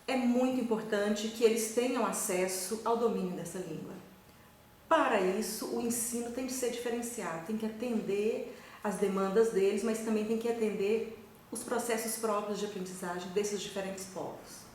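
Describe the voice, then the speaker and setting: A Brazilian woman professor with a Brazilian Portuguese accent gives a measured, reflective recorded talk to a conference, asking many rhetorical questions. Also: medium pitch. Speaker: university professor. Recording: echoey room, voice from far off, faint noise.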